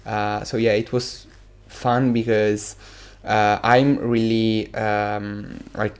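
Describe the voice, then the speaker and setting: A man's voice: fairly low pitch, little pitch movement, slow pace, clean tone. A seventeen-year-old man with an Italian accent, answering casually in an interview and hesitantly searching for words. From Italy. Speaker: exchange student guest.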